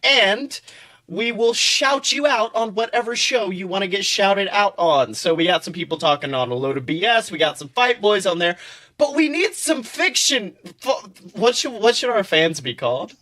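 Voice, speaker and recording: A man with a high, bright voice co-hosts a casual comedy podcast. He tells stories in an animated, profane way, slipping into acted-out quotes as he goes. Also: normal speed. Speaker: podcast co-host.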